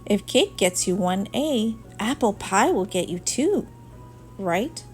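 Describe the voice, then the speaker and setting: Cheeky young classmates from a storybook, voiced by a woman. The delivery is teasing, with animated pitch over light music. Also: takes it slowly. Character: teasing schoolchildren, boys and girls, cheeky classmates.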